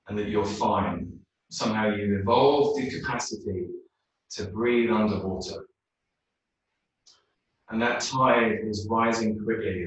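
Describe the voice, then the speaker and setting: A man leading a guided meditation in a yoga class, speaking slowly and soothingly. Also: on the low side. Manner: slow, soothing, unhurried. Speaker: yoga teacher. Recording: very echoey room, mic far off.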